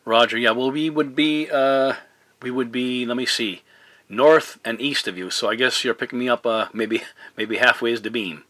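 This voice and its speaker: A man's voice: medium pitch, normal speed, bright, forceful tone. A man from Newfoundland, Canada, chatting casually as a CB radio operator, polite and hesitant, using radio procedure words. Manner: hesitant, polite, spells in phonetic alphabet. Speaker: CB radio operator and video maker. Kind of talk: casual radio contact chat with radio protocol. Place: home radio desk, indoors. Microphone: camera pointed at the radio.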